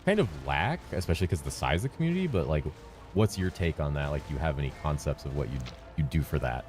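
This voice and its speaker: A man interviewing a developer in a chatty way, with quick stumbling restarts. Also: extremely low pitch, lively intonation, darkish tone, soft background music. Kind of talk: casual interview.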